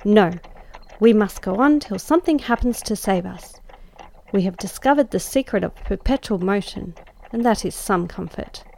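A woman's voice: medium pitch, expressive, moderate pace, vocal fry, dark tone, a little hiss or hum, poor audio. A woman voicing Em, an anxious and dramatic young woman traveller, in a storybook reading. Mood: pleading.

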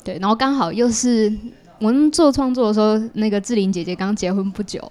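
A woman's voice: mid-range voice, brisk, dark tone. Thoughtful Taiwanese woman explaining in conversation. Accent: Taiwanese Mandarin. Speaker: interview guest, artist. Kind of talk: casual explanatory interview talk.

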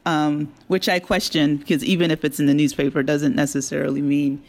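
A woman being interviewed as a drama creator, talking casually with an animated, enthusiastic manner. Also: low-pitched, a little fast.

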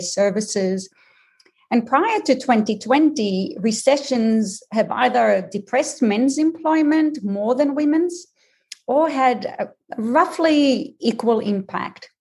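A woman's voice: mid-range voice, a little mellow, breathy edge. Woman with a mixed Israeli-Australian accent. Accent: mixed Israeli, Australian and American. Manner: measured, explanatory, with frequent ums. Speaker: career coach guest. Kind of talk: podcast interview.

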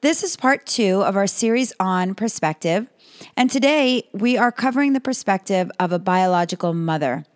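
A woman hosting a podcast, slightly hoarse, reading calm advice in a scripted monologue. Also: mid-range voice, moderate pace, no echo, clean studio sound. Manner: calm, advising. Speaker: podcast host.

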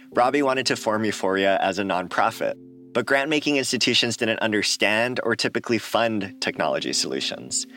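Man narrating a podcast in a bright voice. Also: on the low side. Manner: clear, measured, scripted delivery. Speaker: podcast host. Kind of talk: scripted narration.

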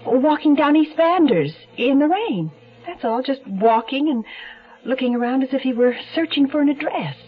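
A frank, slightly guarded woman neighbour in a 1970s radio drama. Her intonation is lively and her manner wry and conversational, on a dull recording. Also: high voice, normal speed. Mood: surprised, recalling.

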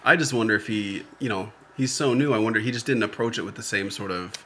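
A man's voice: fairly low pitch, fast, fairly bright tone, husky. A man co-hosting a podcast, recapping a reality show in loose, joking, profane chat. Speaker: podcast co-host.

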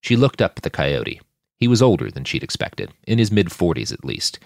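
Deep-voiced man narrating with swooping intonation. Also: sing-song, fairly quick, creaky, dry recording, studio quality. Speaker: fiction podcast narrator, author. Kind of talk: scripted dramatic story narration.

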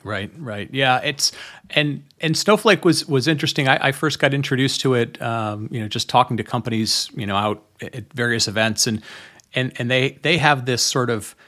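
A man speaks as a podcast host in an informal, conversational style. His voice sits at an ordinary male pitch, and his delivery is a little halting as he talks through his thoughts. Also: sharp tone.

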